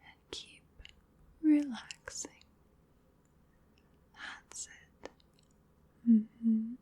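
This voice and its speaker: A woman whispering in a breathy voice, giving soothing, unhurried ASMR hypnosis as part of a roleplay. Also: fairly high pitch, warm tone. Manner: soothing, unhurried, repetitive guided relaxation. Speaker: ASMR performer.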